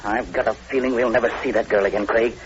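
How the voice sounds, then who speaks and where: An actor playing a middle-aged, level-headed doctor, skeptical yet soothing, in old radio horror drama acting. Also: male voice, medium pitch, fairly even intonation, fast talker, natural voice. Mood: worried. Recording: noisy muffled old radio recording.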